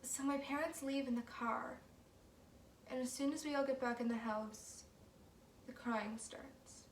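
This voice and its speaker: Woman acting uneasy young woman doing a confessional monologue, quiet chatty storytelling, roomy echo, faint noise. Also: high voice, steady tone, unhurried. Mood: haunted, hushed. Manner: hushed, conversational storytelling. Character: uneasy young woman recalling a haunted childhood house. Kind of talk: naturalistic confessional stage monologue. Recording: roomy, distant mic, faint background noise.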